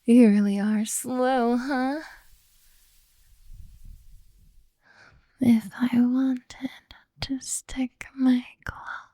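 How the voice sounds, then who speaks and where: A woman voicing a possessive, doting yet menacing beast-girl captor in a soft, coaxing ASMR roleplay. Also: on the high side, vocal fry, no room sound, clean studio sound, heavily acted. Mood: mocking, threatening. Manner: slow, coaxing, quietly domineering.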